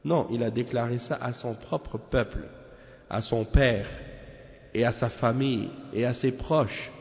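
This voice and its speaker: Gravelly man teaching in an echoey hall. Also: medium pitch, darkish tone. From Canada. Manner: measured, peppers talk with tag questions. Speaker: religious teacher. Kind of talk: religious lesson commenting on a text. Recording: echoey hall, dull lo-fi sound.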